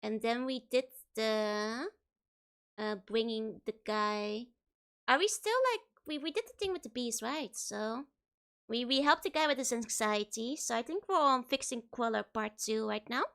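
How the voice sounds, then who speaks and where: A young woman streaming a game, hoarse, giggling and rambling playfully as she chats. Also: on the high side, measured pace, fairly bright tone. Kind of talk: casual game stream chat.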